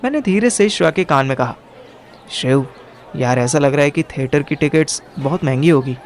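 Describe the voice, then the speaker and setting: A man's voice: fairly high pitch, fairly quick. A young man narrating a first-person audio drama in colloquial Hindi mixed with English words. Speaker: narrator and lead character.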